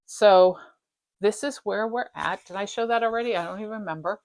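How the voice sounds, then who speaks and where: A woman hosting a cross-stitch video from her craft room, chatting casually and rambling a little, her voice slightly raspy from a dry throat. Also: mid-range voice, a little fast, darkish tone. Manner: chatty, rambling, self-interrupting. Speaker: flosstube host. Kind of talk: casual show-and-tell chat.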